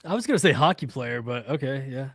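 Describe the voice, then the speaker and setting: A man's voice: medium pitch, expressive, fast, a bit bright, dry recording. Wisecracking man in casual, dry-room chat. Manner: wisecracking, slangy interjections. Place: podcast studio.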